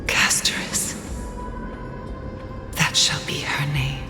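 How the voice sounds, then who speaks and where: Low, echoing, tender guardian mother. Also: completely flat delivery, takes it slowly, in a whisper. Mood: tender, musing. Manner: lyrical, formal. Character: tender, solemn adoptive mother making a vow. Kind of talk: dramatic video game acting. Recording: echoing hall reverb under music.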